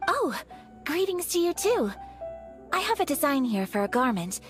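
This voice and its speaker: A woman's voice: high voice, very animated, normal speed. A woman voicing a poised, polite young noblewoman in a video game, speaking formally and graciously. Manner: formal, gracious, softly spoken. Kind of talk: game voice acting. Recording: game audio with faint background music.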